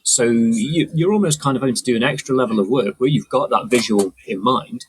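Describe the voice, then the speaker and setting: Bit husky guy chatting and explaining stuff, really dry close sound. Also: mid-range voice, brisk, no echo, low-quality recording. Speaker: podcast guest, audio mixer. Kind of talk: casual explanatory conversation.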